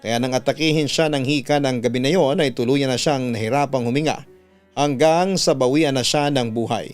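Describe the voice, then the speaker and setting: A man's voice: mid-range voice, moderate pace. A man who works as a radio announcer, narrating a dramatic first-person story. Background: background music.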